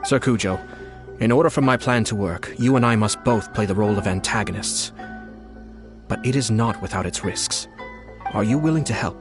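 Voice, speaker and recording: A shrewd young clan head, voiced by a man in a game, speaking in a low, measured and persuasive voice. Faint music sits behind. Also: close to an everyday voice.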